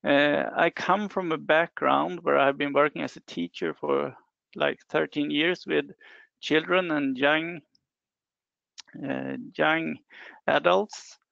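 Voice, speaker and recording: A middle-aged man from northern Sweden with a Swedish accent, a university lecturer giving an online webinar presentation, measured and hesitant with frequent fillers. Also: a little high, fairly even intonation, vocal fry, fairly bright tone, dry recording. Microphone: computer mic on a video call. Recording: dull lo-fi webinar audio.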